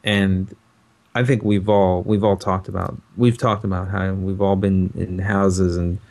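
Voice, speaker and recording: A man's voice: low-pitched, flat delivery, a little slow, a little mellow. A man co-hosting a podcast, musing in casual chat with a hesitant, thoughtful delivery. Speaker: podcast co-host.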